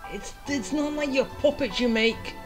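Slow-talking woman doing game commentary. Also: fairly high pitch, low-quality recording. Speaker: game player commenting on story. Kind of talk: casual let's play commentary. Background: game music.

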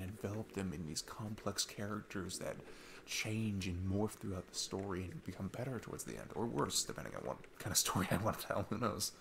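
A man's voice: deep voice, creaky, background noise. A young man playing an easygoing, earnest divorced dad on a date, chatting in a casual, rambling ASMR roleplay style. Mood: earnest, passionate. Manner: rambling, informal, chuckling often. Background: music.

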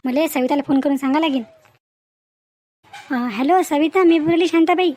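A woman as a shrill-pitched nagging village wife. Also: normal speed, darkish tone, lightly acted. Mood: shocked, gossipy. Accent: Vidarbha Marathi. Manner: gossipy, exclamatory. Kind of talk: animated moral story dialogue.

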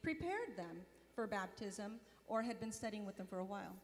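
A middle-aged woman on a convention stage telling a mission testimony into a handheld mic, animated and gesturing. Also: medium pitch, normal speed. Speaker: mission volunteer and board member. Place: large convention hall stage. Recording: long hall reverb.

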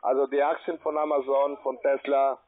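A man with a foreign accent in German, giving an insistent, formal sales pitch as an account manager. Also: flat delivery, moderate pace. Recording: tinny, narrow phone line.

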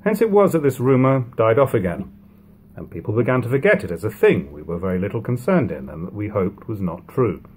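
Low-pitched man in his thirties, calm audiobook reader, slight hiss behind, home webcam recording. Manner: measured, even reading of old prose. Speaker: reader of a classic book. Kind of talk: unhurried audiobook reading. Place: living room at home.

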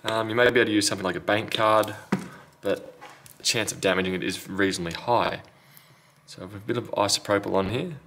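A man's voice: fairly low pitch, gravelly, fairly bright tone, a little hiss or hum. A man talking casually and matter-of-factly through a hands-on repair tutorial, step by step, as a technician working at a bench. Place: indoor repair workbench.

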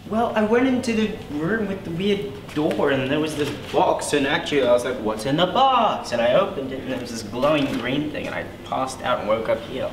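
A young man delivers exasperated, sarcastic lines in an amateur short film. His voice moves through a wide, lively pitch range, sitting slightly high for a man. The echoey school hallway adds reverb and a faint hiss. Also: fairly quick, hardly any pauses. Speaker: student actor.

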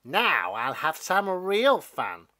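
Male reader as a mean talking giant plant for a storybook: loud, swooping, forceful, gloating. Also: a little high, wildly swooping pitch, takes it slowly, voice pushed up, full character voice. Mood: triumphant, boastful. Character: boastful, mean, taunting talking giant beanstalk.

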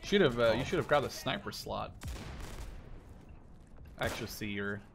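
A man in his twenties from the Netherlands, chatting casually while playing a shooter, swearing and yelling when things go well or badly. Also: mid-range voice, normal speed, vocal fry. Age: 20s. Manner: excitable, swears and shouts at big moments. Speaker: gaming YouTuber. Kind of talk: casual gameplay chat. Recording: faint game gunfire behind.

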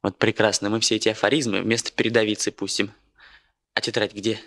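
A man's voice: on the low side, flat delivery, a little fast, fairly bright tone, dry recording. An actor playing a breezy, joking young man in a radio play, casual and jaunty. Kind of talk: Soviet radio play acting.

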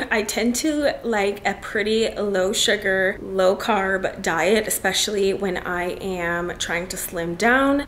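A young woman talks straight into the camera from a bright loft apartment, chatty and upbeat, her pitch sitting in a normal middle range. She stretches some words out for emphasis and scatters "like" and "um" through her talk. A faint hiss stays under her voice. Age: young adult. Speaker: lifestyle vlogger. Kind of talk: casual vlog narration. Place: bright loft apartment with brick walls, indoors.

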